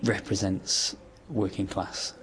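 A man's voice: low-pitched, little pitch movement, takes it slowly, fairly bright tone, rough recording. A middle-aged man from the Manchester area, talking casually in an interview with plenty of hesitant fillers and restarts. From Greater Manchester, England. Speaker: photographer.

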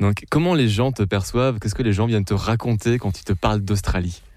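A man interviewing a guest, asking questions in a measured, reflective way with some hesitations. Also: on the low side, darkish tone.

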